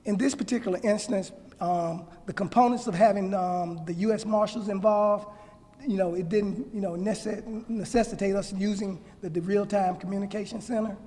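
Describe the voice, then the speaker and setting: Male official, high-pitched and mellow, measured formal press answers, reverberant hall. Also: steady tone. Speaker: law enforcement official answering press questions.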